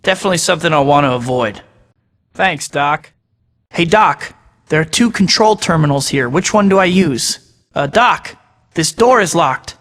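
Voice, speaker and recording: An actor voicing a young masked superhero in a video game, talking himself through each task with wry jokes. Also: male voice, a little high, lively intonation, normal speed, fairly bright tone, big-room sound. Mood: focused. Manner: wry, joking asides to himself. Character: young male masked web-slinging superhero, wisecracking. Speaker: video game hero voice clips. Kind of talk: game voice-over lines.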